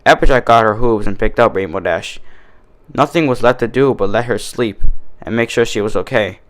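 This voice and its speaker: A man's voice: lowish voice, normal speed. A man reading scripted story narration as a fan-fiction reader.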